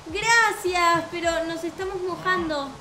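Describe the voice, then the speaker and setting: A woman's voice: very high voice, unhurried, reverberant, slight background noise. A young woman with a Rioplatense Spanish accent, chatting expressively and asking questions as she roleplays through a video game. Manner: expressive, asks many questions. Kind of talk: improvised gameplay roleplay chat. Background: music.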